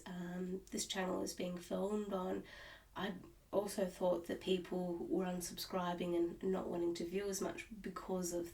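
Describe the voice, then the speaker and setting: A woman's voice: on the low side, a little mellow, distant mic. An Australian woman vlogging about cross-stitch in a casual, rambling way, sounding nasal and stuffed up while recovering from illness, talking at home. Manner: rambling, with many restarts and fillers. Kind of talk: casual chat to camera. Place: at home, indoors.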